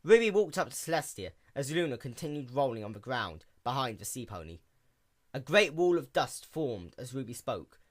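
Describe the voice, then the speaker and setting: A man's voice: medium pitch, big pitch swings, moderate pace. A man narrating a piece of fiction in a dramatic story-reading style.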